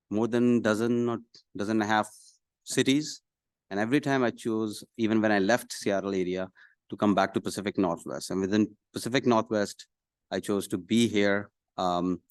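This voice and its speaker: Low-voiced middle-aged man, halting speaker. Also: darkish tone, no echo, clean studio sound. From Pakistan. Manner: halting, pausing often mid-phrase. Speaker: city council candidate. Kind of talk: self-introduction at a public meeting. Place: council meeting room, speaking at a podium.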